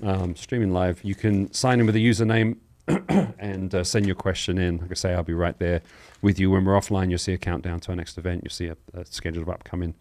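This is a man with a fairly deep voice hosting a live call-in style program. He talks informally and welcomingly, moving through announcements and explanations in a chatty broadcast manner. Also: fairly even intonation, brisk, hardly any pauses, darkish tone. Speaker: host of a live Bible question show.

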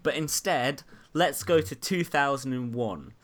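A man's voice: mid-range voice, expressive, a little slow, fairly bright tone. A man hosting a casual interview, asking prompting questions and laughing often. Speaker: host.

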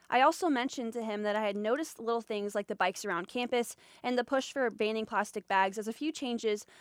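A woman hosts the podcast and reads short scripted links between interview clips in a clear, even manner. Her voice sits somewhat high, and the recording is dry. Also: no echo. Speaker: podcast host. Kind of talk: scripted narration.

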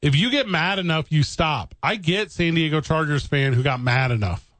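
Higher-voiced man riffing jokes. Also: normal speed, a bit bright, dry recording. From St. Louis area, USA. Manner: joking, riffing storyteller. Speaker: podcast co-host. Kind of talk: casual sports chat.

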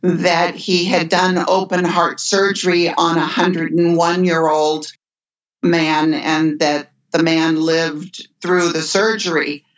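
An older woman who works as an end-of-life care nurse, speaking in an earnest, measured way. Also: lowish voice, little pitch movement. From United States. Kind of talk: podcast conversation. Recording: dull lo-fi remote audio.

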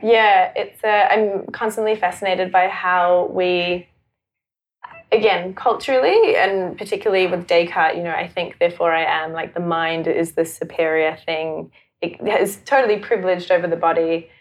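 A woman's voice: fairly low pitch, moderate pace. A woman from South Africa who later lived in New Zealand, talking casually and informally as an interview guest, peppering her sentences with "like". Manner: informal, animated phrasing with frequent "like". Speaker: podcast guest, sexuality and mindfulness facilitator.

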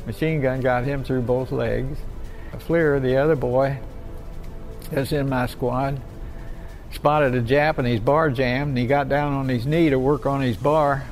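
A man playing an American war veteran, halting and plain-spoken, reminiscing conversationally in a radio drama. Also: medium pitch, fairly even intonation, faint music behind, close to an everyday voice, poor audio. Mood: somber recollection. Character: American WW2 veteran plainly recalling his war memories.

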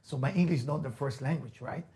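A man's voice: medium pitch, fast talker, dark tone. A middle-aged man from Israel with an Israeli accent, a native Hebrew speaker, giving an emphatic, repetitive motivational talk.

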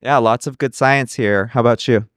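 A man's voice: mid-range voice, fairly even intonation, moderate pace, a bit bright, no echo, clean studio sound. A man hosting a podcast with casual on-the-spot interviews, in an upbeat, appreciative manner. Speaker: podcast host.

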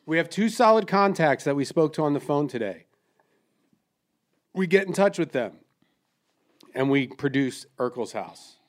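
A man's voice: on the high side, lively intonation, moderate pace, long pauses. A man hosting a comedic podcast, taking charge and steering the banter with his guests. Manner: bossy, steers the conversation. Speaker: podcast host.

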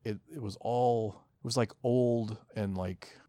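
A man's voice: medium pitch, fairly even intonation, a little slow, warm tone, dry recording, clean studio sound. A man co-hosting a podcast in casual chat, rambling and swearing freely as he goes. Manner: rambling, profane. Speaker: podcast co-host.

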